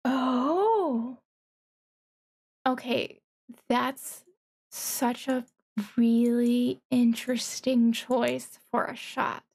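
High-pitched, slow-paced gushing woman streamer. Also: creaky, darkish tone. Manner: gushing, awestruck, pausing mid-phrase to think. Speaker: streamer reacting to a game trailer. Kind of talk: trailer reaction commentary.

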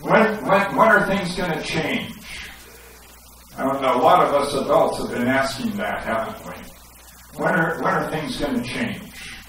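Middle-aged male pastor, animated sermon delivery, headset mic, very echoey sanctuary heard from a distance. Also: medium pitch, measured pace, slight background noise. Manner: animated, gesturing, rising to emphatic questions. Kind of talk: sermon with scripture reading. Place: church sanctuary, indoors.

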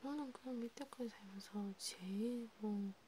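Softly whispering female vampire counselor, acted by a woman. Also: fairly high pitch, a little hiss or hum, close to an everyday voice. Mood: soft, reflective. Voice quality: breathy, mostly whispered. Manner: intimate, halting, repetitive casual speech. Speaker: ASMR creator. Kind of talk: whispered ASMR counseling role play.

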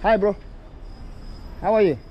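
A man from northern Uganda strolls through an outdoor market, narrating his vlog in a loose, chatty way with many fillers and restarts. His voice sits a little high. Street and traffic noise stays clear behind him. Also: measured pace, lo-fi sound. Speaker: vlogger. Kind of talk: casual walking street vlog.

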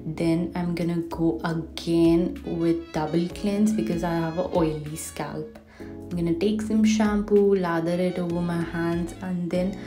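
A woman's voice: lowish voice, fairly even intonation, a little slow. A young woman in her twenties chatting enthusiastically through her home shower and skincare routine as a beauty vlogger. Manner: chatty, enthusiastic about products. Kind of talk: casual routine vlog voice-over. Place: bathroom at home. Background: faint music.

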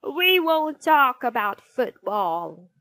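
A woman acts out a fierce storybook schoolmistress, snappish and commanding. The voice is raised and high, with sweeping pitch, and each order is delivered at a measured pace. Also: animated, a bit bright, no echo. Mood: stern, exasperated. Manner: scolding, commanding. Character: stern, snappish, glaring female schoolteacher.

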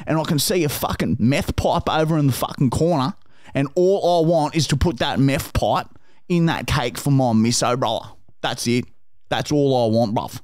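Man doing a foul-mouthed Australian bogan, pushy and pleading, dry mid-pitched voice. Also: normal speed, a little mellow, no room sound, natural voice. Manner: swears constantly, calls everyone mate and brother. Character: crude pushy bogan bloke, loud and pleading. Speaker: prank caller. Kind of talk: prank phone call.

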